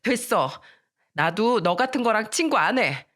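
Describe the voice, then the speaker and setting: A touchy teenage girl in an audio story, acted by a woman, lashing out at whoever is near. She talks in curt, confrontational bursts, and her pitch jumps about in a very animated way. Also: unhurried. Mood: angry, defensive.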